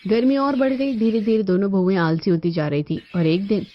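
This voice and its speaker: A woman's voice: mid-range voice, fairly quick, muffled recording. A woman narrating a scripted story as a storyteller. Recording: band-limited audio with music behind.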